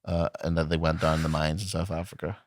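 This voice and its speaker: A man with an Irish accent co-hosting a podcast, teasing his partner with deadpan asides. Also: extremely low pitch, fast talker, dry recording, studio quality. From Dublin, Ireland. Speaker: podcast co-host. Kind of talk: casual banter. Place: podcast studio. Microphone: studio mic.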